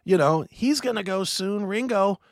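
A man in his fifties hosting a casual podcast chat, rambling and animated with many restarts. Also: high-pitched, normal speed, dry recording, studio quality. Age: 50s. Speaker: host.

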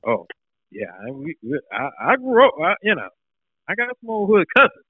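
A man guests on a casual podcast, stammering through his answers and laughing often. His pitch moves around a lot, and his voice comes through thin and phone-like. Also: huge pitch swings, moderate pace, slightly rough, no echo. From North Carolina, US. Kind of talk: casual chat. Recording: tinny phone-like line.

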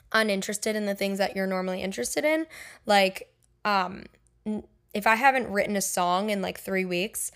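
Young woman, podcast host, casual solo chat, chatty, lots of fillers, candid, mid-pitched voice. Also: clean tone. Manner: chatty, filler-heavy with frequent "like" and "um"; candid and personal.

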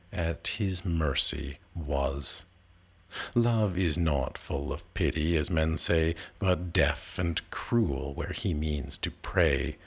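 A man reciting narrative poetry expressively, with measured, dramatic phrasing and pauses. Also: low voice, a bit bright, breathy edge. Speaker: reader of poetry.

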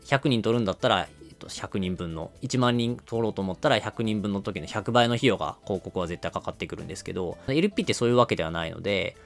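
A man's voice: lowish voice, vocal fry. A man co-hosting a podcast, explaining business matters conversationally in long, connected runs. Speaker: podcast co-host explaining marketing.

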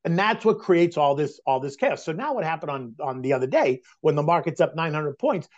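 A man in his sixties talking excitedly and emphatically about markets and aging in a casual chat. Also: fairly high pitch, a little fast. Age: 60s. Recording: dull lo-fi sound.

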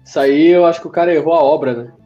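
A man in casual podcast chat, an animated storyteller who acts out other people in a squealing voice. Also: a little high, very fast. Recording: slightly dull sound with faint music.

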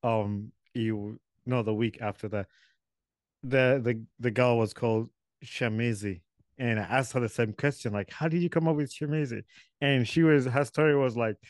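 A man's voice: mid-range voice, dry recording, clean studio sound. A man hosting a podcast interview over a video call, rambling and correcting himself with light chuckles. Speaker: podcast host. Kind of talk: casual interview chat.

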